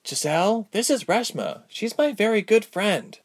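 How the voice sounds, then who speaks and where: A guarded, serious woman of a fantasy storybook, acted out by a man. The pitch sits raised, and the words come terse and earnest, like someone protecting those close by. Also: very animated, normal speed. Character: Ilse, guarded adult woman ice chanter, earnest and terse.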